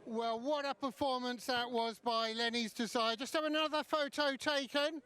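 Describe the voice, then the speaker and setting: Chatty high-pitched man presenting live sport. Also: fairly even intonation, moderate pace, bright, forceful tone, clear, resonant tone. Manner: chatty, hesitant, rambling. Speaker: trackside presenter interviewing owners and trainers. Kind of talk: live sports broadcast interviews. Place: outdoors at a greyhound track.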